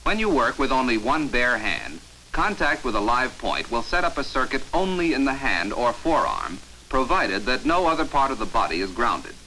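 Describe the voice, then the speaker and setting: An American man delivering scripted instructional narration for a 1950s training film in an authoritative, measured, emphatic announcer manner, on an old film soundtrack. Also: medium pitch, sing-song, pushed and bright, faint music behind, low-quality recording.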